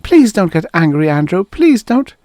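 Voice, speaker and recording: Desperate, fragile wife acted by a male narrator, high strained pleading voice for a storybook drama. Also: very animated, normal speed, darkish tone, no echo, studio quality. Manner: pleading, strained, high-pitched put-on female voice.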